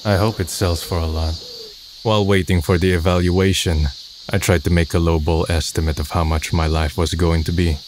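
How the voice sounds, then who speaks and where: A man's voice: sits low, level tone, moderate pace, noisy background, natural voice. A man playing a gloomy, self-deprecating twenty-year-old who narrates his own story in a subdued, resigned way. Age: young adult. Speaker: narrator and protagonist. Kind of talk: scripted first-person narration. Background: background music.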